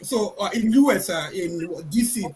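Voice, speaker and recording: A man's voice: sits high, lively intonation, moderate pace, a little mellow, slight background noise. A man on a live panel makes a comparison in a rambling way, filling his talk with "you know". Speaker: panel guest. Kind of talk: heated live panel discussion.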